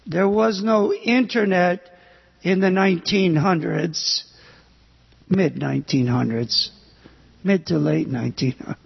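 An older man from the United States giving a sermon on scripture, speaking haltingly with pauses and fillers. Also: high-pitched, creaky, slightly rough, long reverb tail, highs cut off. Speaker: preacher.

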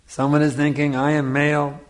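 A man's voice: a little high, completely flat delivery, brisk. A man giving a spiritual lecture in a didactic way, repeating phrases for emphasis and checking in with his listeners. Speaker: spiritual teacher giving a discourse. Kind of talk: Hare Krishna style lecture on scripture.

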